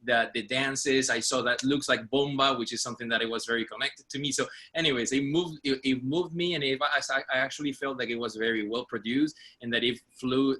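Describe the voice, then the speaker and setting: A man's voice: medium pitch, bright, forceful tone. A man from Rico, an arts director and teacher, introducing himself on a video call in a hesitant, earnest way, searching for words. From Puerto Rico. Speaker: artistic director and university faculty member.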